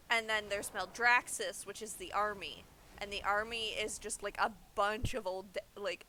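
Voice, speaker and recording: Bright-voiced woman, casual game streamer. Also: mid-range voice, slight background noise, rough recording. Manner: informal, loosely explanatory. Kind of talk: casual chat, explaining game story while playing.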